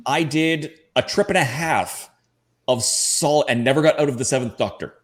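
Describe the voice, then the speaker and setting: A man's voice: medium pitch, moderate pace. A man from Canada speaking as a podcast panelist, telling personal anecdotes in a casual, conversational way.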